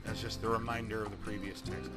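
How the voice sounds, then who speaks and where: A man's voice: on the low side, flat delivery, fast talker. A man giving casual, chatty let's play commentary on a video game, grumbling playfully. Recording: loud game music behind.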